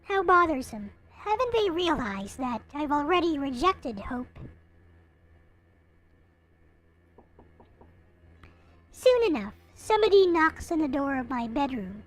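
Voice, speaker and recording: A high, swooping voice from a woman acting a young visual novel narrator who has given up on life. The delivery is brooding, bitter and self-pitying, theatrical in its melancholy. Music is clearly present in the recording. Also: very animated, moderate pace, few pauses. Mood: resigned, sullen. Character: gloomy, bitter, hopeless young person going blind, self-pitying narrator. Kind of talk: dramatic reading of a visual novel.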